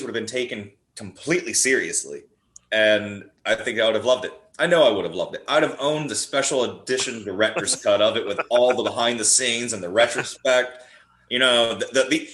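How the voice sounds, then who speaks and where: A man's voice: mid-range voice, a bit bright. A man chatting casually and enthusiastically about films as a podcast guest, rambling through stories and restarting his words as he goes. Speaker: podcast guest, horror playwright. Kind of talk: casual enthusiastic film chat. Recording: roomy, echoing sound.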